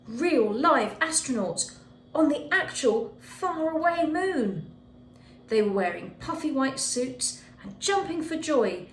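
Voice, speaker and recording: A woman reading a children's picture book aloud expressively, with dramatic emphasis on key words. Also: a little high. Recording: echoey room with faint background noise.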